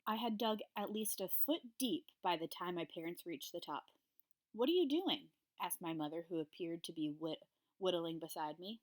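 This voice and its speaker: A woman reads a children's story aloud as a grade school teacher would for her class. Her voice sits a little lower than most women's. The delivery is that of a classroom read-aloud. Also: steady tone, moderate pace.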